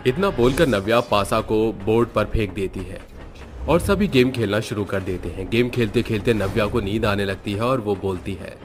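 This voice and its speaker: A man's voice: fairly low pitch, lively intonation, moderate pace. A man narrating a scripted horror story as its storyteller. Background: faint background music.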